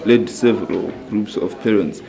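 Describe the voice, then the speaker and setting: A man's voice: lowish voice, normal speed, vocal fry, dark tone, faint music behind. A man delivering scripted narration for a school newsreel.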